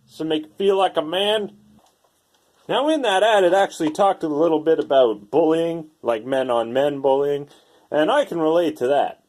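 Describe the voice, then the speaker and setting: Male DIY host, voice a touch high. Casual how-to delivery, blunt, folksy and salty-tongued. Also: a little mellow.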